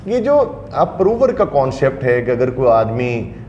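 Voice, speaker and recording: A man's voice: medium pitch, big pitch swings, a little slow, a little mellow, roomy sound, highs cut off, slight background noise. A male interview host asking long, winding questions about legal procedure.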